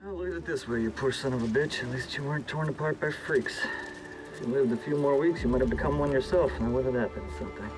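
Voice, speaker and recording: A man playing an anxious government research scientist in a hazmat suit, with halting, stammering speech, in cinematic video game acting. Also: medium pitch, music in the background, close to an everyday voice, poor audio. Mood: wry, bitter.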